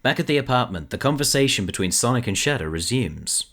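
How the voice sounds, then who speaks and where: A man's voice: medium pitch, animated, quick pace, a bit bright. A man narrating a scripted audio drama, reading out the action between the lines of dialogue.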